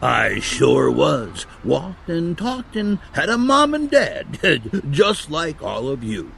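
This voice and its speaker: A man's voice: a little high, huge pitch swings, creaky, fairly bright tone, slight background noise, heavily acted. Kindly old Santa Claus, acted by a man, swooping expressively. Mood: reminiscing. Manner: halting, chuckling, avuncular. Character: kindly, grandfatherly old male department-store Santa Claus. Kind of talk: family audio drama acting. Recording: music behind the voice.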